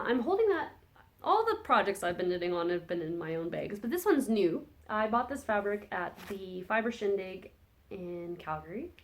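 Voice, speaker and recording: A woman talks in a loose, rambling, chatty way, often stopping to correct herself. Her voice sits at an ordinary middle pitch and sounds a little phlegmy, as she is still getting over a cold. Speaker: podcast host. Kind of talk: casual chat about knitting projects.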